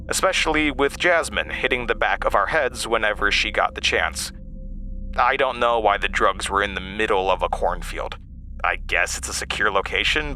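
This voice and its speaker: A man's voice: fairly low pitch, pushed and bright, a little hiss or hum, low-quality recording. A man playing an earnest, rueful narrator of a horror audio drama, recounting his childhood in conversational, confessional asides. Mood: uneasy. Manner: conversational, hesitant asides to the listener.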